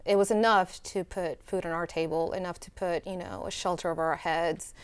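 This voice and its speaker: A young woman from El Salvador sits for a studio news interview. She talks about her life earnestly and reflectively, pausing with fillers and restarting her sentences. Her voice sits low and sounds smooth and clear. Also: steady tone. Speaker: student guest.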